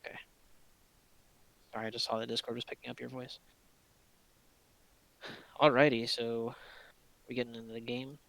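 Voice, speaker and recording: A man's voice: medium pitch, little pitch movement, normal speed, vocal fry, pauses often, a bit bright, rough recording. A young man co-casting an esports match, teasing and easygoing. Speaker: esports co-caster. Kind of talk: casual pre-game esports commentary.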